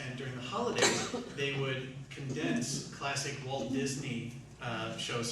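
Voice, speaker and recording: A man hosting a live theatre show, giving a prepared, showmanlike introduction to the audience. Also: mid-range voice. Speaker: host of a live radio theatre troupe. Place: large echoey room, live performance. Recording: very echoey room, distant mic, hiss.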